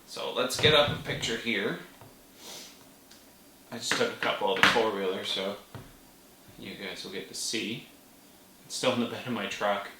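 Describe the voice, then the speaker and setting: A person telling a story in a casual vlog manner, animated, with playful exclamations. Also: medium pitch, normal speed, long pauses. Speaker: vlogger. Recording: roomy, distant mic with faint noise.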